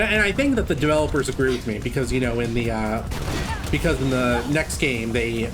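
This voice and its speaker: A man giving casual live speedrun commentary, haltingly thinking aloud as he plays. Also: medium pitch. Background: game music.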